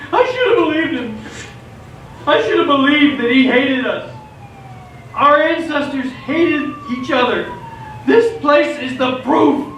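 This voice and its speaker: Guy acting bewildered guilty teen boy vlogger, really high voice, stage radio play, super echoey. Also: fairly bright tone. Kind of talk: live radio theatre acting. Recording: echoey theatre stage, distant mic, faint noise.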